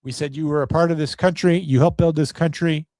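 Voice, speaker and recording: American man, political podcast host, slightly high voice, sarcastic and profane, very dry sound. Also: fairly even intonation, a little fast, a little mellow, no echo. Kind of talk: opinionated political monologue.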